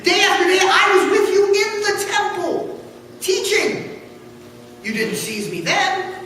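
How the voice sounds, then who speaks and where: A man's voice: very high voice, big pitch swings, takes it slowly, bright, forceful tone, pitched above the performer's own voice, exaggerated voice. A man reading the words of Jesus as a solemn, resolute, declamatory figure in a scripture story. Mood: reproachful. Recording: echoey, noisy hall from far off.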